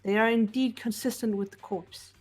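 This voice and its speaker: A woman voicing a cool, analytical teenage girl detective, calm and measured, lecturing with precise logic. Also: a little high, breathy edge, faint music behind. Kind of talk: amateur voiced game playthrough.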